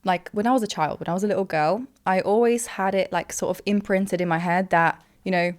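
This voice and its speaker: A woman's voice: mid-range voice, steady tone, a little fast. A young woman from Poland, a guest in a casual interview, chatting in a rambling way with many fillers.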